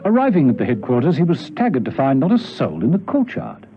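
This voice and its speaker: A man's voice: a little high, wildly swooping pitch, a little fast, soft background music. Theatrical male narrator with swooping intonation. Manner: suspenseful, theatrical. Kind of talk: dramatic scripted radio narration. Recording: dull, hissy old radio recording.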